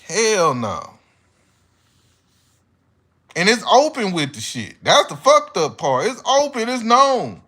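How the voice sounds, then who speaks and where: A man's voice: high voice, sing-song, slow, pauses often, bright, forceful tone. An American man giving a casual, opinionated monologue as an online commentator, speaking bluntly with heavy slang and swearing. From United States.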